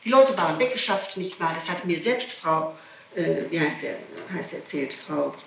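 A woman's voice: fairly low pitch, animated, moderate pace, gravelly. A woman speaks on an old interrogation tape, sounding distant in an echoing room with faint hiss. She is insistent and agitated, firing off rhetorical questions. Her sentences often break off and start again as she pushes her points. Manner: digressive, breaks off and restarts sentences. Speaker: person being questioned by police. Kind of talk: spontaneous statement in an interrogation. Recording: old archive tape, roomy, distant, hissy.

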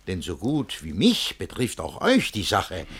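An actor playing a jealous, scheming older master in a verse radio play, declaiming between muttering and agitated outbursts. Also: male voice, low voice, huge pitch swings, measured pace, gravelly, a bit bright, husky, exaggerated voice. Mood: urgent, commanding.

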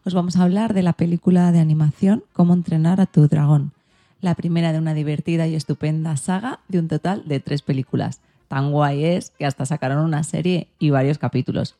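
Female podcast host, Spain accent, low register with gravelly fry, scripted presenting. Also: fairly even intonation, fairly quick, warm tone.

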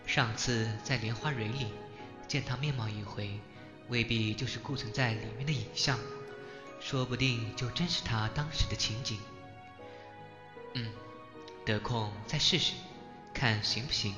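A man's voice: medium pitch, measured pace, long pauses, dull audio, close to an everyday voice. A man playing an earnest, good-natured young man in a Chinese ancient fantasy radio drama. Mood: pondering. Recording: roomy home recording under music. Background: background music.

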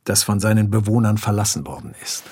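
An actor playing the sober narrator of a radio play, reading scripted scene descriptions. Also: male voice, on the low side, a little fast, warm tone, breathy edge.

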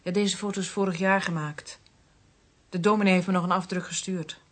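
An actress playing an earnest, polite researcher in a Dutch radio drama, explaining things a little hesitantly. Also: female voice, lowish voice, steady tone, a little fast, close to an everyday voice. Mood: hesitant, subdued. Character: earnest female seal researcher, worried about her father.

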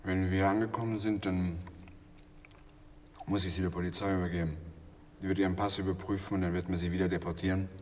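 Low-voiced actor as a morbid storytelling man, reverberant. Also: flat delivery, darkish tone, distant mic, slight background noise, lightly acted. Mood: dry, resigned. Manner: halting, rambling storytelling with pauses and dry asides. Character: wry, morbid German man telling grisly tales. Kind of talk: radio play acting.

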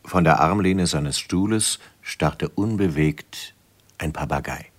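A man narrating a radio drama as a storyteller, measured and suspenseful. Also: rumbling low, full character voice.

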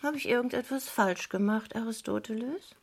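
An actress playing a capricious, scheming French wife in a vintage German crime radio play, coquettish, teasing and insistent. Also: female voice, on the high side, normal speed, over loud music, natural voice. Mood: fretful, indignant.

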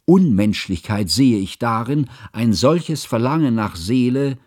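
An actor playing an earnest, learned male chronicler, narrating a literary radio drama in formal, measured, pedantic diction. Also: medium pitch, expressive, darkish tone, no room sound, studio quality. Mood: impassioned, indignant.

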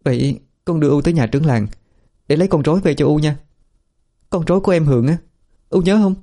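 A man's voice: on the high side, a little fast, mellow, pitched above the performer's own voice, close to an everyday voice. A man doing a soothing young daughter. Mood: coaxing. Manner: soothing, coaxing. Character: young woman, gentle and pleading daughter.

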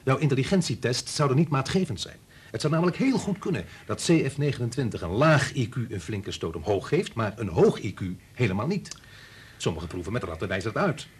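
An actor in a Dutch radio play playing a domineering scientist, insistent, coolly persuasive and condescending. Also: male voice, medium pitch, big pitch swings, fast, husky.

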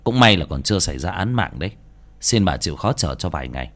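A stern, businesslike policeman, as a man plays him, talking quickly and flatly with no sympathy. His voice is close to the storyteller's own, just a touch lower. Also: exaggerated voice. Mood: firm. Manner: curt, matter-of-fact. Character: stern brisk male police officer.

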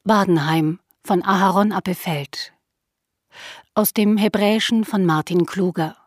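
A woman's voice: medium pitch, a little slow, no room sound, studio quality. A woman reading radio play credits in a formal, neutral announcer style. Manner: clear, neutral listing of names.

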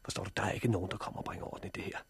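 An actor playing a calm, pragmatic young survivor in a 1960s radio drama, measured and understated, with a husky voice. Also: male voice, low voice, whispered. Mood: hushed, firm. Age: 20s. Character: pragmatic, calm man of twenty-nine surviving a catastrophe. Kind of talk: 1960s radio drama acting and first-person narration.

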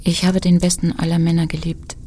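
An actress playing a hesitant, tender nineteenth-century woman being courted, speaking in hushed, intimate radio-play style. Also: lowish voice, monotone, fairly quick, slight background noise, close to an everyday voice. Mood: hesitant, grave.

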